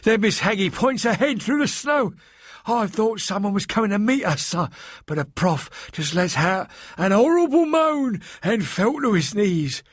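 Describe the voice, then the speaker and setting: A man voicing a hulking, terrified working-class woman servant who speaks frantically and breathlessly in rough, rustic grammar. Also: high voice, expressive, a little slow, no room sound, clean studio sound, pitched above the performer's own voice. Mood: frightened. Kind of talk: audiobook character voice.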